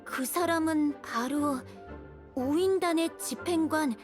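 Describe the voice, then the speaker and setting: High-pitched, earnest young boy scout. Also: slow pace. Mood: earnest, formal reading. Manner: polite, hesitant, earnest. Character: young, earnest, timid boy surveyor of a knight expedition. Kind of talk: scripted game dialogue, reading a letter aloud. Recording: music behind the voice. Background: music.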